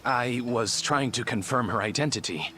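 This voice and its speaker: An actor playing a reserved, formal middle-aged male clinic director with a measured delivery in an English video game dub. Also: mid-range voice, bright, forceful tone, soft background music, lightly acted. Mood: somber.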